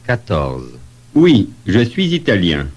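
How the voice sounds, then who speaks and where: A man playing a polite traveller in a scripted language-lesson dialogue, giving deliberate answers. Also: lowish voice, wildly swooping pitch, slightly rough, full character voice. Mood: polite, matter-of-fact.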